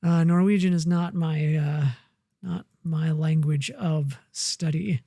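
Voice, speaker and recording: A woman plays through a survival game and chats to herself in a slow, unhurried ramble. Her voice sits low and mellow. The sound is dry and close, with no room around it. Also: creaky, no echo. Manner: relaxed, muttering to herself while building. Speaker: gamer. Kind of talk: casual let's-play commentary.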